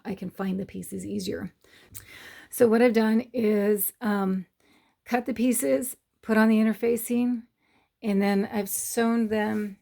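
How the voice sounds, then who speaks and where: A middle-aged woman, hoarse from losing her voice, hosts a casual sewing tutorial and explains her steps in a rambling, hesitant way with many fillers. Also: medium pitch, warm tone. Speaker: sewing channel host.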